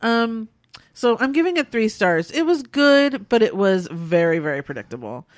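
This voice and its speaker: A woman's voice: on the high side, sing-song, normal speed, no room sound, clean studio sound. A sick woman with a nasal, congested voice, co-hosting a casual podcast and rambling chattily through movie reviews. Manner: chatty, rambling, laughs often. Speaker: podcast co-host.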